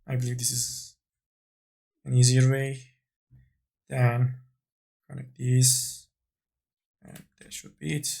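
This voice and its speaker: Slow, husky man teaching software. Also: medium pitch, monotone. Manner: tentative, thinking aloud while working. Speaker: 3D modeling tutorial instructor. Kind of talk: unscripted software tutorial narration.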